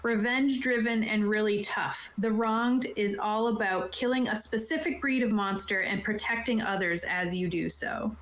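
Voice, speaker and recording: A woman talks through tabletop game rules as a game master, in a casual and slightly halting manner. Her voice sits at an average pitch. The recording is roomy, with clear echo around the words. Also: a bit bright. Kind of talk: casual explanatory talk, reading from a rulebook. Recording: roomy, echoing sound.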